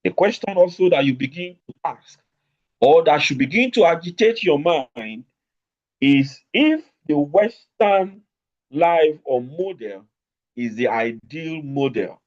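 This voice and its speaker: Male law lecturer, slow and emphatic, slightly high voice, muffled online audio. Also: lively intonation. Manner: deliberate, stretched-out emphasis on key words. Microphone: remote call over network.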